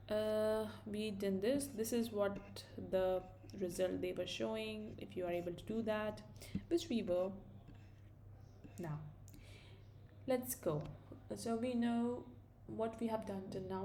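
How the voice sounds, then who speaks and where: Indian woman explaining code, mellow voice. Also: mid-range voice, a little hiss or hum. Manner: casual, thinking aloud with fillers and self-corrections. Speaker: coding tutor. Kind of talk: screen-recorded coding tutorial.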